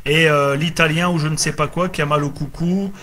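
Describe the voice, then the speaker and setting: A man's voice: fairly high pitch, fairly even intonation, brisk, bright, forceful tone, a little hiss or hum. A man chatting casually as a radio operator, often repeating himself. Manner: repeats himself, tag words. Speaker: amateur radio operator running a group contact.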